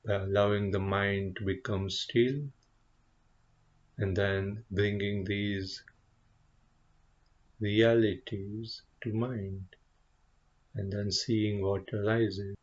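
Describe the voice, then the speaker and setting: A man leading a guided meditation as a teacher, speaking gently and contemplatively, with unhurried pauses between short phrases. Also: on the low side, level tone, lo-fi sound. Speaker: meditation teacher, Buddhist monk.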